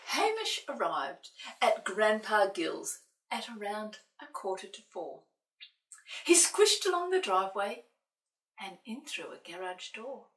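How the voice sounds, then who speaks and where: Bright, very animated woman storyteller. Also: medium pitch, takes it slowly. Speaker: children's storyteller. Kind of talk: expressive picture-book read-aloud. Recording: roomy, distant microphone.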